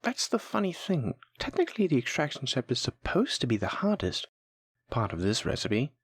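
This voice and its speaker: A voice actor plays a patient, kindly alchemy teacher from a fantasy audio roleplay. The voice is breathy and moves expressively up and down, recorded close and dry. The teaching is gentle and encouraging, aimed at a nervous beginner. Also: mid-range voice, huge pitch swings, moderate pace, no echo. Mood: sympathetic, reassuring. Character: kind, patient alchemy teacher guiding a nervous new apprentice. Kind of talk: soft-spoken scripted fantasy audio roleplay.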